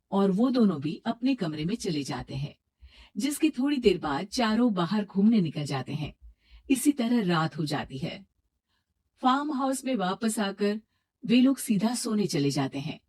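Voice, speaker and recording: A woman's voice: medium pitch, very animated, a little slow, darkish tone, slightly rough. A woman reading scripted story narration as a storyteller.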